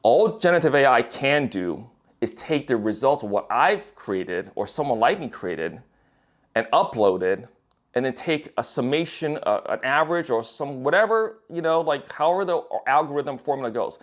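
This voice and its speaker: A man's voice: mid-range voice, lively intonation, moderate pace. A young man speaks in a loose, unscripted monologue, blunt and peppered with profanity. He circles his points with restarts and fillers, in an ordinary mid-range voice. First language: English. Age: young adult. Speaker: writer and designer. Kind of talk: casual monologue to camera.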